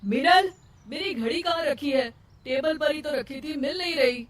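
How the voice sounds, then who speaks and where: A woman's voice: high voice, moderate pace, natural voice. A woman voicing a puzzled, mildly impatient young husband in a cartoon story. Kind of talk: cartoon moral story acting. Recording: roomy, distant mic, faint noise.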